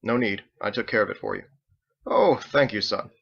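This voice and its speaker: An elderly storybook father, acted out by a male reader, effusive and pious. He wheedles and exclaims in a pitch that lifts above the reader's own, with a creaky edge, on a slightly dull recording. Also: wildly swooping pitch, normal speed, a bit bright, exaggerated voice. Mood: flustered, polite. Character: charming, fretful elderly father and tavern keeper, pious.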